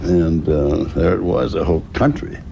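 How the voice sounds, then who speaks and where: Gravelly, low-voiced older man. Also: animated, moderate pace, dark tone. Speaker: interviewee, former broadcast executive. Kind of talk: plain spoken reminiscence. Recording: dull, faintly noisy archival interview.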